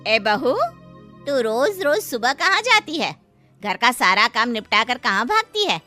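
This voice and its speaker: A woman's voice: on the high side, very animated, unhurried, bright, forceful tone, soft background music, full character voice. A woman playing a disapproving, nagging older mother-in-law in a moral story. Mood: suspicious, mocking. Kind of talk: Hindi moral-story acting.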